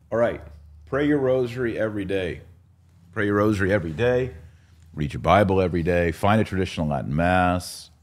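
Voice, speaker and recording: A man's voice: low-pitched, animated, normal speed. A man hosting a podcast in a casual, conversational way. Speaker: podcast host.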